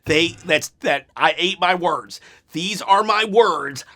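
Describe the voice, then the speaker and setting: Excitable man with a bright, fraying voice. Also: high voice, takes it slowly. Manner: excitable, rambling, repeats himself. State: voice going hoarse. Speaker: wrestling channel host. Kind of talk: unedited post-show review.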